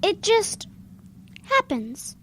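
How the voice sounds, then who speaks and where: A woman's voice: very high voice, sing-song, very slow, background noise, full character voice. A woman playing an earnest, curious young schoolboy with a puzzled, childlike manner in a children's audio drama.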